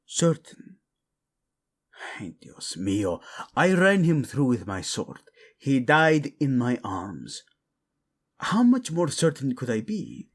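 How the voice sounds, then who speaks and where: A man's voice: mid-range voice, very animated, slow pace, long pauses. A man playing a proud, brooding young prince in an audio drama, curt and sardonic, with a Spanish accent. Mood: exasperated. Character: brooding, proud young prince, defensive about his dead brother.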